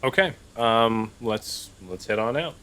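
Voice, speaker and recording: A man's voice: medium pitch, unhurried, background noise. A man playing a tabletop roleplaying game, tentatively thinking aloud in casual discussion.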